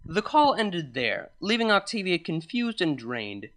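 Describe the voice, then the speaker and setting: Bright, animated story reader. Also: mid-range voice, a little slow. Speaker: fan fiction reader.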